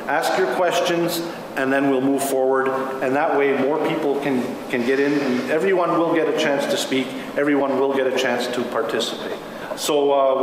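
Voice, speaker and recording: A man facilitating a public meeting, explaining things in a halting way as he addresses the room. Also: fairly high pitch. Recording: very echoey hall, clear background noise.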